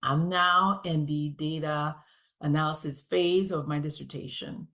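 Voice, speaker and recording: Haitian-accented woman in her forties, slow, careful conference speaker with a lowish voice. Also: fairly even intonation, fairly bright tone. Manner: measured and deliberate, as if reading. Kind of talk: prepared conference presentation.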